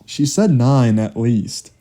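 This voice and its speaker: A man's voice: mid-range voice, animated, slow pace, warm tone. A young man acting as a diligent, stressed college student in a naturalistic audio drama. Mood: businesslike, stressed.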